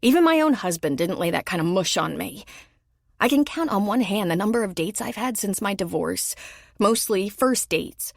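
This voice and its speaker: A woman's voice: mid-range voice, animated, moderate pace, vocal fry, dry recording, clean studio sound. A woman voicing Lola, a blunt, guarded single mother of forty who gets defensive when complimented. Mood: pleading.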